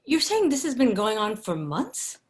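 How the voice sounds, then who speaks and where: A woman's voice: fairly high pitch, huge pitch swings, moderate pace. A woman voicing a stern, protective female federal agent in charge in a drama read, firm and emphatic. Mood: alarmed, angry. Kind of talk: scripted drama table read.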